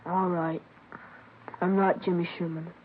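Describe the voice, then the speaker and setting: A woman's voice: lowish voice, flat delivery, a little slow, noisy background, close to an everyday voice. A woman playing a runaway boy passing himself off as another child, chatty and evasive, in a 1950s radio drama. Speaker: boy impostor. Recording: dull vintage radio recording.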